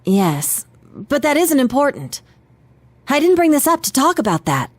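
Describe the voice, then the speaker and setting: A woman's voice: high voice, very animated, normal speed, no room sound. A woman playing a composed, analytical teenage detective in a video game, speaking politely with precise, formal diction. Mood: flustered.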